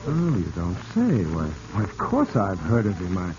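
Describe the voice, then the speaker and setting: An actor playing a smooth-talking, calculating male swindler in a 1940s radio drama. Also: low-pitched, wildly swooping pitch, moderate pace. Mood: charming. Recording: dull, noisy vintage radio recording.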